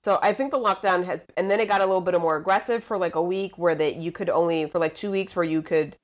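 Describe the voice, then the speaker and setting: Rambling young woman, lowish voice. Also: steady tone, quick pace. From Puerto Rico. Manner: rambling, hesitant, full of "like" and "um". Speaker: podcast guest. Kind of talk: casual chat over a call.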